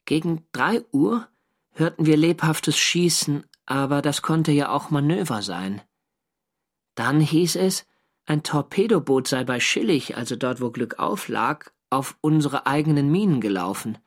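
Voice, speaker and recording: An actor playing a wartime sailor narrating his diary in a measured, dryly wry way for a radio drama. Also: on the high side, lively intonation. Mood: sober, reporting.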